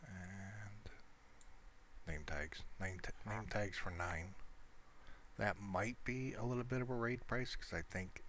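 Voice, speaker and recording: Low-pitched man rambling through gameplay. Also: slow pace, a bit bright, a little hiss or hum. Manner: rambling, thinking aloud. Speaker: gamer showing his Minecraft world. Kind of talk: casual gameplay commentary.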